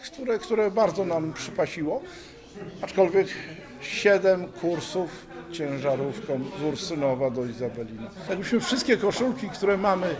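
A man's voice: high voice, animated, unhurried, a crowd in the background. A man speaking informally in an interview, with an enthusiastic, emphatic manner. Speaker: volleyball museum founder being interviewed.

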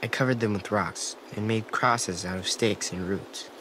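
A man voicing a timid, guilt-ridden gentle young boy in a video game, hesitant and apologetic. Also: on the low side, moderate pace, background noise, lightly acted. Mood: sad, subdued. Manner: hesitant, soft-spoken, apologetic. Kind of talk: naturalistic video game dialogue acting. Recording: clear outdoor stream ambience.

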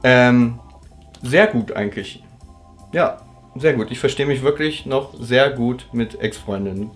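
A man in his thirties from Germany hosts a relaxed Q&A from a sofa, talking in an easy, chatty way and laughing often. His voice sits in the middle range. Faint music is heard behind him. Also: mid-range voice, unhurried. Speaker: host. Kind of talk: casual living-room Q&A. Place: living room, indoors.

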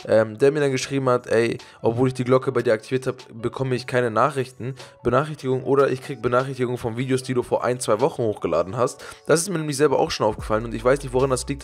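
A young man with a cold-roughened, lowered voice chatting casually to his viewers over gameplay, with frequent fillers. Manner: fast, chatty, many fillers. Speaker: gaming YouTuber.

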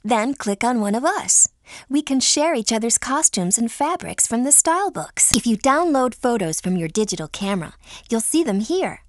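An actress playing an upbeat, encouraging teenage girl who gives clear scripted instructions and praise in a game. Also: a little high, very animated, moderate pace, dry recording. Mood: helpful, upbeat.

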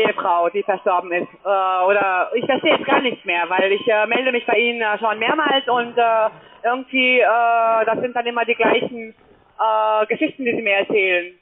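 A man making a phone sales call as a call center agent, speaking in a halting, hesitant way and searching for words. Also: high voice. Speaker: call center caller selling crypto trading. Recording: muffled phone line, busy call-center chatter.

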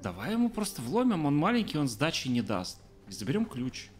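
A man in his thirties talks over a game from a small home room, teasing his chat and reading out the on-screen story. His pitch swings widely as he jokes. Game music plays clearly behind him. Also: wildly swooping pitch, fairly quick. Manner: sarcastic, joking, reads game subtitles aloud. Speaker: streamer. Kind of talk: casual let's play commentary. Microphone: desk mic, webcam framing.

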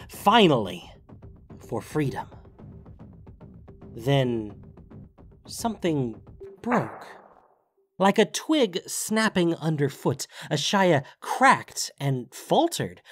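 A man narrating a scripted fantasy story dramatically, with deliberate emphasis. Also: on the high side.

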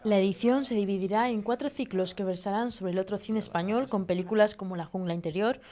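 A woman reads a news package voice-over in a measured, even cadence. Her pitch sits in the middle range. The tone is informative and controlled. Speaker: news reporter. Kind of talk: scripted voice-over report.

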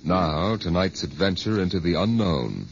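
A man's voice: low-pitched, animated, normal speed, gravelly. A man narrating a vintage radio science fiction drama in a solemn, suspenseful manner. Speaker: announcer and narrator. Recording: noisy, dull old radio recording.

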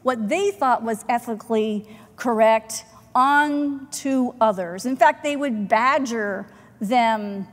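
Slow, earnest woman pastor preaching. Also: on the high side. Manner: measured, earnest preaching with deliberate pauses. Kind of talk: sermon. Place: church sanctuary, in front of the chancel. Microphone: pulpit microphone, far camera. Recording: long church hall reverb.

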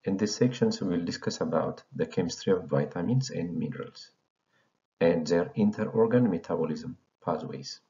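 A man's voice: low voice, moderate pace, mellow. A man from Ethiopia in his thirties, founder of an educational channel, reading a slide presentation in a formal lecturing tone. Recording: dull lo-fi voice recording.

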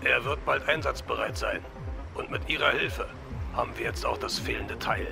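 A man playing a calm, menacing villain boss in an audio drama, with a gravelly voice. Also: medium pitch, moderate pace, music in the background. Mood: calm menace. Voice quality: gravelly creak.

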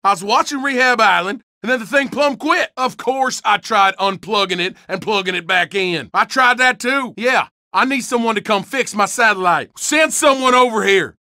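Game barks: threatening Southern country man, crude drawl, bright and dry. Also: high-pitched, moderate pace, few pauses, no echo, heavily acted. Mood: grumbling. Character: rude hostile rural redneck man, middle-aged.

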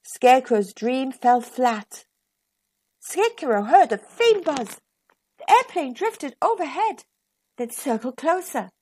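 A woman reading a children's picture book aloud with expressive narration as a storytime host. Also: sits high, takes it slowly, slightly rough.

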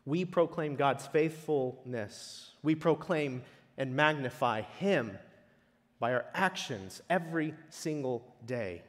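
A man preaching a sermon in a measured way, leaning on emphatic repetition. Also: a little high, lively intonation, fairly bright tone. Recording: long reverberant hall echo.

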